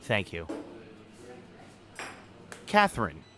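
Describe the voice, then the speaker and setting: A man's voice: on the low side, huge pitch swings, very slow, a bit bright, slight background noise, full character voice. An actor playing a friendly young male teaching assistant with a light, chatty, teasing way, in a naturalistic audio drama. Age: young adult.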